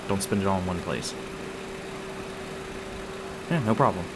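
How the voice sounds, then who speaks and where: A man's voice: deep voice, expressive, a little slow, heavy background noise. A man playing a young demon boyfriend in an ASMR roleplay, speaking casually with teasing jokes, sweetness and hesitant asides.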